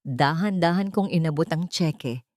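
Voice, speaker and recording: Woman doing emotive audio drama narration, lowish voice, really dry close sound. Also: moderate pace, darkish tone, no echo. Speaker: narrator of audio love story. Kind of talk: dramatic scripted first-person narration.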